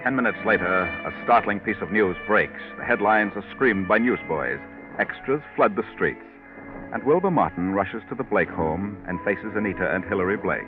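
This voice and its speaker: A man's voice: lowish voice, lively intonation, moderate pace, close to an everyday voice. An actor playing an ominous, dramatic male storyteller narrating a 1940s radio mystery. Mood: ominous, urgent. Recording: tinny 1940s radio recording. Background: music.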